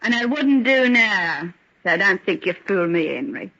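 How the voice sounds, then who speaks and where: A woman's voice: mid-range voice, animated, a little slow, bright, forceful tone, full character voice. An actress playing a bitter, wronged working-class young woman with a sardonic, defiant manner in an old-time radio drama. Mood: bitter, resigned. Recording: dull, lo-fi vintage radio recording.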